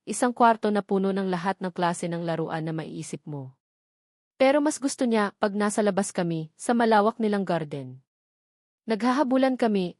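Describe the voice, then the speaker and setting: A woman's voice: mid-range voice, a little mellow, no echo, clean studio sound. A woman with a Filipino accent reading a scripted first-person romance story as its storyteller.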